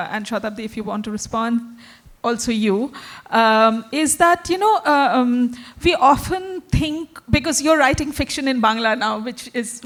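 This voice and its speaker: A woman moderating a literary festival panel, with a voice that sits slightly high. She shapes her questions slowly and hesitantly, circling through fillers as she searches for the right words. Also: a bit bright. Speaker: panel facilitator.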